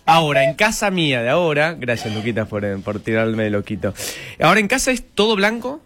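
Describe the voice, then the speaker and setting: A man with a Rioplatense Spanish accent chatting casually on a panel, animated and teasing. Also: a little high, brisk. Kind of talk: casual group chat.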